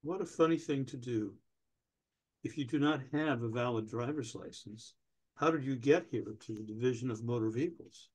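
A man in his sixties playing a pedantic, obstructive older DMV clerk in a staged comedy reading, officious, deadpan and stalling. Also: mid-range voice, normal speed, lightly acted. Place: indoors, on a video call.

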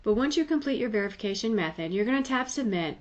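A woman's voice: fairly high pitch, lively intonation, fast, a little mellow, dull audio. A woman giving a conversational, explanatory tutorial voice-over in a plain-spoken, instructive manner. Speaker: tutorial presenter.

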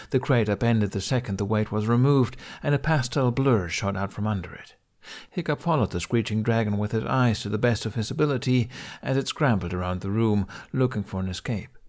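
A man's voice: fairly low pitch, a little mellow. A man reading a scripted story aloud as an audiobook narrator.

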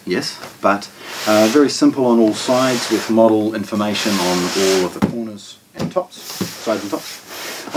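A man in his forties with a New Zealand accent, giving an unscripted product demonstration in a measured, matter-of-fact way with short, broken phrases. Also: on the low side. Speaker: shop presenter of product unboxings. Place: carpeted indoor showroom room with shelves. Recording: distant microphone, clear background noise, handling clicks.

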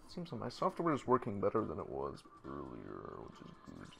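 A man streaming a game, hoarse and losing his voice, chatting casually and answering his viewers. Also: low-pitched, huge pitch swings, fairly quick. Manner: reads chat aloud and answers viewers. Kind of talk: casual live-stream commentary.

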